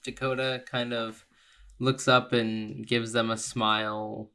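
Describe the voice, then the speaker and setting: Guy player in a tabletop game, crisp bright tone, pretty flat pitch, casual roleplay. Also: slow pace. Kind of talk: casual roleplay, narrating and speaking for a character.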